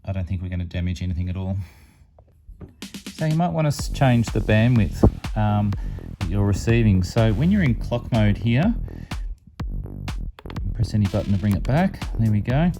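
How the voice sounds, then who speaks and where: A man's voice: lowish voice, expressive, moderate pace, music in the background. A man giving an informal, unscripted step-by-step product demonstration as a hobby reviewer.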